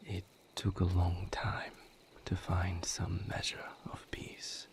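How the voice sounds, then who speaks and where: A man playing a brooding, tender vampire lover with a painful past, speaking hesitantly and formally in a breathy, intimate audio roleplay monologue. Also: monotone, full character voice. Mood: guarded, somber. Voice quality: breathy, whispered, low-pitched. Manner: hesitant, formal wording, measured, pausing.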